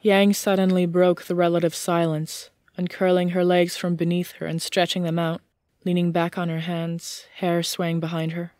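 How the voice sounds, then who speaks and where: A woman reading scripted fiction as an audiobook narrator, in a measured storytelling manner. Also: fairly low pitch, little pitch movement, darkish tone, no room sound, clean studio sound.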